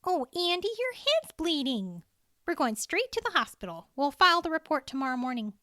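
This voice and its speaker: Casting: female forest ranger for an audiobook, voiced by a woman reader, raised pitch, emphatic, incredulous, bossy but caring. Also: big pitch swings, a little fast, bright, forceful tone, dry recording, studio quality, full character voice. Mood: concerned, insistent. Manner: emphatic, exclamatory. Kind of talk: audiobook character dialogue.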